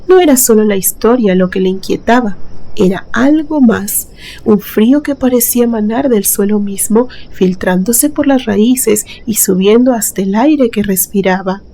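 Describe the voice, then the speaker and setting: A woman's voice: medium pitch, normal speed, dark tone, slight background noise, low-quality recording. A woman reads a scripted horror story in a dramatic, suspenseful storytelling manner. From Mexico. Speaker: narrator, channel host.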